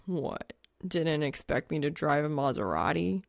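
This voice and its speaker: A woman's voice: low-pitched, big pitch swings, unhurried, vocal fry, no room sound, pitched below the performer's own voice, full character voice. A woman voicing a dry, grumpy young male gamer with a sardonic drawl in an audiobook story.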